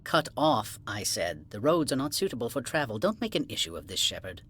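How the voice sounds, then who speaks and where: An actor playing a haughty alien corporate administrator in a video game, condescending, formal and clipped, dismissive of outsiders. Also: male voice, mid-range voice, very animated, fairly quick, a bit bright, slightly rough. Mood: curt, irritated. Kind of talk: video game cutscene acting.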